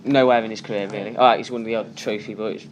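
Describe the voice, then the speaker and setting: A man co-hosting a casual sports chat, giving quick, emphatic opinions. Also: fairly low pitch, steady tone, dull audio, a crowd in the background. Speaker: co-host.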